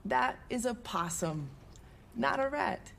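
A woman's voice: on the high side, animated, slow, creaky, fairly bright tone, exaggerated voice. A young American woman from a street racing game. She talks in casual, slangy speech as a loyal garage hand. There is some background noise around her voice. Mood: relaxed, friendly. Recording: background ambience noise under the voice.

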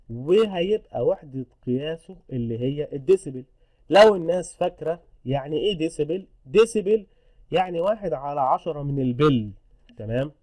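Egyptian man lecturing in a mellow voice. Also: fairly high pitch. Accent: Egyptian Arabic. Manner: didactic, repeats points and checks understanding with tag questions. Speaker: engineering instructor. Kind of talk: explanatory technical lecture in Arabic mixed with English terms.

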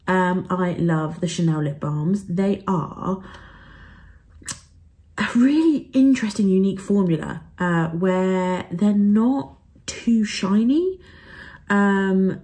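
A woman's voice: on the low side, warm tone. A young woman chatting casually to camera as a vlogger, upbeat and full of fillers. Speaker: travel and beauty vlogger. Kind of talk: casual haul chat to camera.

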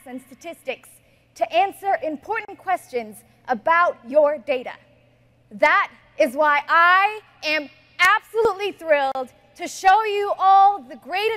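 A young woman from Ethiopia, a native Amharic speaker, giving a lively product demo on a large conference stage. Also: very high voice. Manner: lively, upbeat presenter. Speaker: software engineer presenting.

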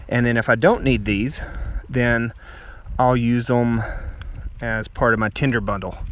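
A man's voice: mid-range voice, noisy background. A man in his forties with a Texan accent, casually explaining survival skills as he works outdoors on a beach. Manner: explains his steps plainly while handling things. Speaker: survival vlogger. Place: open sandy beach and dunes outdoors.

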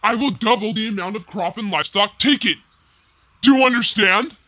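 A man's voice: high-pitched, a little fast, pushed and bright, no room sound. A man playing a greedy, domineering space police commander who speaks in threats in an audio drama. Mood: threatening.

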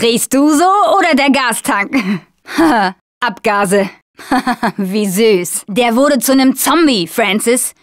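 A young female survivor of a zombie apocalypse in a video game, tough and sardonic. Her voice is high and she takes her time over clipped, informal lines. The sound is dry, with no room around it. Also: no echo. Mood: wry. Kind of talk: German video game dub, short combat callouts.

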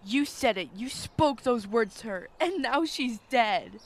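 A woman's voice: fairly high pitch, animated, measured pace, slight background noise. A woman playing a bitter, accusing young girl grieving her friend in a radio drama. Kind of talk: radio horror drama acting.

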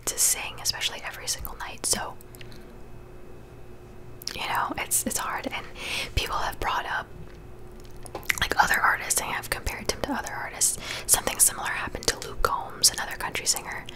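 A woman's voice: moderate pace, pauses often. A young woman in her twenties whispering casual gossip chat for an ASMR video, close to a studio microphone in a small bedroom. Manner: chatty, conversational whisper. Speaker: ASMR creator. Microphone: large studio mic close to the mouth.

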